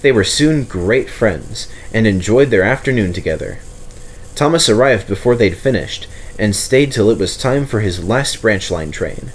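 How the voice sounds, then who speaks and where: A man's voice: on the low side, lively intonation, normal speed, slight background noise, rough recording. A man reading a children's story aloud as scripted narration.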